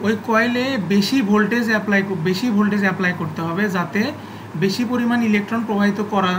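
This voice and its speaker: Halting male explainer, noisy recording. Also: high-pitched. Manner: halting, often repeats phrases for emphasis. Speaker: explainer of physics and electronics. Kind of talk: informal unscripted technical explanation. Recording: clearly noisy, constant background hum.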